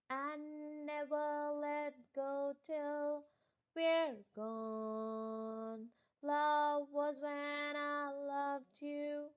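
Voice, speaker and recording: A woman singing a slow, sentimental ballad. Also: high voice.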